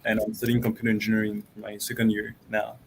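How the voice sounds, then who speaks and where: A man's voice: fairly low pitch, completely flat delivery, quick pace, gravelly, noisy background. A young man from Cameroon, a university student, explaining off-campus housing in a friendly way, often correcting himself. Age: young adult. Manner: friendly, self-correcting, with ums. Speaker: student presenter.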